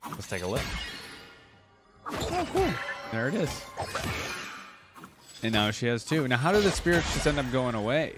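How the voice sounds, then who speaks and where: A man giving casual reaction commentary, chatty and curious, thinking aloud as he goes. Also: medium pitch. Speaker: reaction video host.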